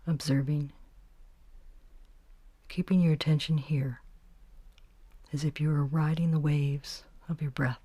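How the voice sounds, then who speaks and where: A woman guiding a meditation, giving gentle, unhurried instructions in short phrases with pauses between them. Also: deep voice, husky, no room sound. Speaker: meditation guide. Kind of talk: guided meditation narration.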